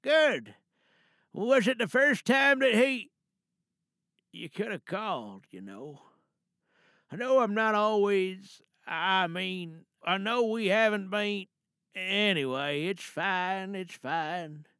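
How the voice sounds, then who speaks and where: A man playing a protective, grim older father in an audio drama monologue, speaking in a halting, deliberate and coldly calm way. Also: high-pitched, clear, resonant tone, no echo, clean studio sound, full character voice. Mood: anxious, reassuring. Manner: halting, hushed reassurance turning cold and deliberate. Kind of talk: dramatic audio monologue, one side of a phone call.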